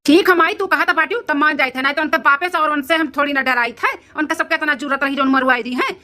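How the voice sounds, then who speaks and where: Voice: high-pitched, steady tone, fast talker, sharp tone. A performer voicing a hot-headed, blustering adult son, protective of his mother, in an Awadhi comedy cartoon, with an indignant manner and an Awadhi accent. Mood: grudging, threatening.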